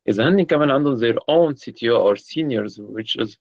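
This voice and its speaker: A man's voice: mid-range voice, expressive, fast talker, darkish tone, no room sound. A man hosting a casual online chat, hesitant, with many filler sounds. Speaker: host, community organizer. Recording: dull lo-fi call audio.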